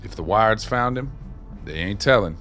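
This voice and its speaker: A man's voice: on the low side, expressive, moderate pace, fairly bright tone, faint music behind. An actor playing a streetwise, wry former maintenance man, telling stories in a folksy, colloquial way in a radio play. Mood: grim, wry. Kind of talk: radio play dialogue, reminiscing.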